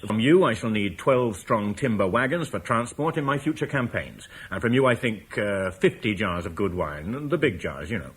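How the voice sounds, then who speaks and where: A man's voice: fairly low pitch, lively intonation, moderate pace, hardly any pauses, breathy edge. A man playing a shrewd British war leader in a radio drama, firm and businesslike. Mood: stern bargaining. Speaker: military commander.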